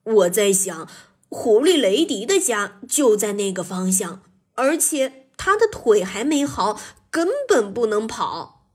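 A woman's voice: mid-range voice, big pitch swings, slow pace, slightly rough, exaggerated voice. A woman voicing a watchful male raccoon with a serious streak and a declamatory manner in a children's story. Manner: declamatory, rhyming calls.

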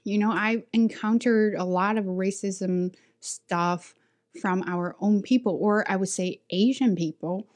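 A woman's voice: medium pitch, unhurried. A young woman from China with a Chinese accent, an English teacher casually recounting her experiences with many restarts and fillers. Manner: frequent restarts and filler words, talking through experiences. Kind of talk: casual personal storytelling.

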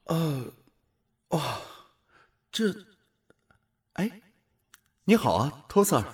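An actor playing an awestruck space explorer in a radio drama, halting and full of wonder. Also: male voice, on the high side, very animated, heavily acted. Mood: startled, confused. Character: awestruck space explorer newly transformed into an alien body.

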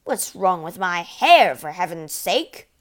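A woman voicing a blustering, indignant middle-aged father in a storybook, shouting in outrage and panic. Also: lowish voice, very animated, slow pace. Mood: angry.

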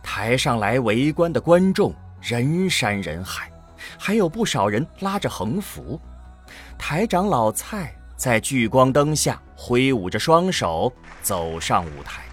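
Male narrator, unhurried, animated intonation, dramatic scripted storytelling, soft music bed. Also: mid-range voice.

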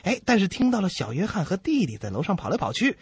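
A man co-hosting a comedy radio show with a husky voice and playful scripted banter. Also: sits high, wildly swooping pitch, quick pace.

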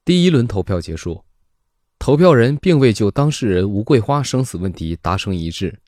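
A man playing an impassive official who makes formal, measured procedural announcements in an audio drama. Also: lowish voice, big pitch swings, a little mellow, dry recording, studio quality. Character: impassive official announcing a life-or-death vote.

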